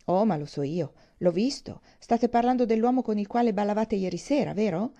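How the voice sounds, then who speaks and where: A woman voicing a brash, boastful young gentleman in an audiobook, speaking in a bossy, emphatic way. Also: mid-range voice, animated, brisk, close to an everyday voice. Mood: insistent. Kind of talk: audiobook character dialogue.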